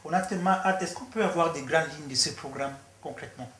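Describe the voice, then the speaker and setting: A man's voice: on the high side, fast talker, sharp tone. A man interviewing a guest, asking questions hesitantly with many fillers. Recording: echoey room with faint noise.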